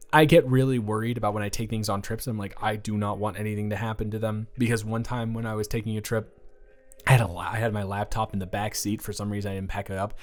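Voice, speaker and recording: A man's voice: lowish voice, darkish tone, natural voice. Man acting a shy, rambling college dormmate, music behind. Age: young adult. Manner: hesitant, rambling, stammering. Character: shy, eager, adorably awkward male college dormmate. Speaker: audio roleplay voice actor. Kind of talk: intimate romantic audio roleplay, conversational.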